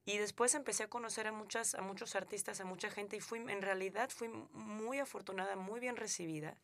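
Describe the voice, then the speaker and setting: A Mexican actress with a Mexican accent, speaking earnestly as a radio interview guest, with frequent fillers and restarts. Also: female voice, mid-range voice, steady tone, fairly quick, a bit bright, no room sound.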